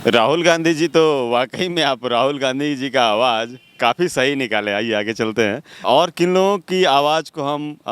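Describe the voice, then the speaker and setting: Male location host, mid pitch, informal interview delivery. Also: a bit bright, clean tone. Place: outdoors in a park.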